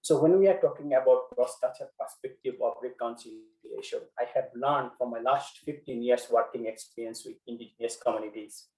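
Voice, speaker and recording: A man from Bangladesh whose first language is Bengali, giving a webinar presentation as a guest speaker in a measured way, with frequent pauses and fillers. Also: mid-range voice, darkish tone, roomy sound, rough recording.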